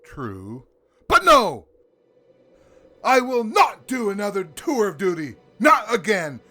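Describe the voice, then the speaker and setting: A man's voice: high voice, wildly swooping pitch, slow, sharp tone, no room sound, faint music behind, heavily acted. A man playing a weary, indignant Santa Claus, theatrical and grumbling, in an audio drama. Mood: indignant, defiant. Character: weary, indignant Santa Claus, big jolly boss turning rebel.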